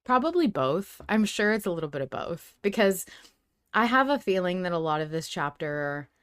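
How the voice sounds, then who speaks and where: A woman's voice: fairly low pitch, big pitch swings, normal speed, clean tone, no room sound, studio quality. A woman co-hosting a podcast in a casual fan discussion, talking in an effusive, emphatic way. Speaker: podcast co-host.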